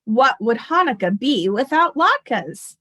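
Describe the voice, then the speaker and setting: A woman's voice: a little high, big pitch swings, slow pace, pushed and bright, exaggerated voice. A woman voicing an eager, frank young granddaughter in a storybook, in an exclaiming manner.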